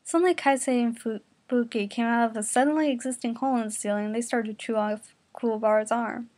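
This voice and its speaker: A woman's voice: a little high, steady tone, fast talker, darkish tone, no room sound. A woman narrating a comic fan fiction parody, reading it aloud as storyteller.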